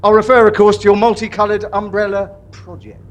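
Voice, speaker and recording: A man playing a pompous, theatrical official in a live comedy, with a grandly drawn-out delivery. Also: high-pitched, flat delivery, natural voice. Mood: sardonic. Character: pompous, theatrical male authority, judge and prison governor. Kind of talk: live comedy sketch acting.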